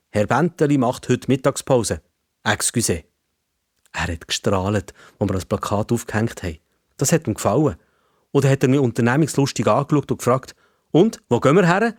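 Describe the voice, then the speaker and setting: A man's voice: lowish voice, darkish tone, dry recording, studio quality. A man with a Swiss accent telling a scripted story for children as narrator.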